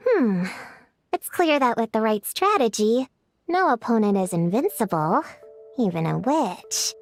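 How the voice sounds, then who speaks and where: Anime game witch kid: high-pitched, self-serious, studious, stubborn. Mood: studious, self-absorbed. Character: bookish young witch girl, stubborn, proud, self-serious. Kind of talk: anime-style video game character acting.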